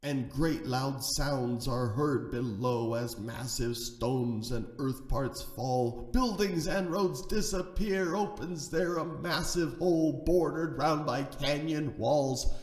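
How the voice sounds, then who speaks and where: A man reading a rhyming story theatrically, swinging between hushed and excited delivery, with a gravelly creak in the voice. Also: mid-range voice. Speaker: narrator, storyteller.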